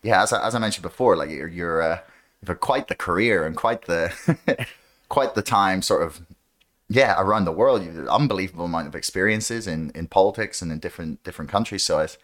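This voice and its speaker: A man's voice: low voice, a little fast, a bit bright. A man hosting a podcast, chatting casually and hesitantly with many fillers. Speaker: podcast host.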